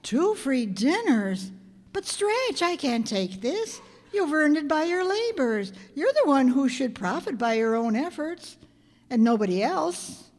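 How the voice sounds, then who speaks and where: A wry, wisecracking schoolteacher played by a woman speaks in a high voice from a reverberant hall. She is scheming and lovestruck, with a dry delivery out of old-time radio comedy. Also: big pitch swings, unhurried, darkish tone. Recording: echoey hall, long reverb.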